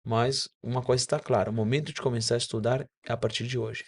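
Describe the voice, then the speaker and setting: A man's voice: mid-range voice, steady tone, fast talker, breathy edge. A man whose first language is Spanish, speaking as a doctor guest on a casual podcast and explaining things with frequent self-corrections.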